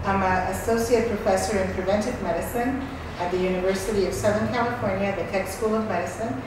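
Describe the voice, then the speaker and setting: A woman's voice: mid-range voice, steady tone, quick pace, far from the mic. A woman, a professor of medicine, speaks passionately and articulately in an interview. She sounds set back from the microphone in a very echoey space, with faint background noise. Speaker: associate professor of preventive medicine. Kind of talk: interview answers.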